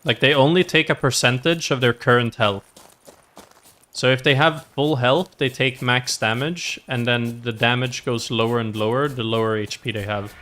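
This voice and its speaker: A man's voice: mid-range voice, fairly even intonation, moderate pace, bright, forceful tone. A man streaming a video game, casually thinking aloud as he comments on his play for himself and viewers. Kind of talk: casual live gameplay commentary. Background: game music.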